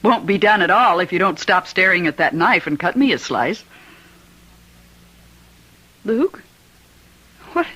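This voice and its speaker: Bright-voiced, practical wife, old radio. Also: female voice, on the low side, animated, a little slow, pauses often, full character voice. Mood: uneasy. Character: warden's sensible wife, dry and practical. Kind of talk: old-time radio mystery acting. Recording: dull, noisy old radio recording.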